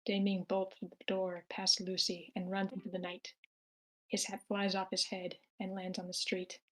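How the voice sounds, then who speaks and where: A woman's voice: mid-range voice, monotone, moderate pace, rough recording. A woman narrating screenplay action lines aloud at an amateur table read, in a plain manner with occasional stumbles. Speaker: stage-direction narrator.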